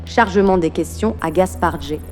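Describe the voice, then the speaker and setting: A woman's voice: fairly low pitch, moderate pace, a little mellow, soft background music, close to an everyday voice. A synthetic woman's voice playing a cold female intelligence-agency AI interrogator, formal and commanding, with a slight British accent. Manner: formal, clipped, commanding announcements.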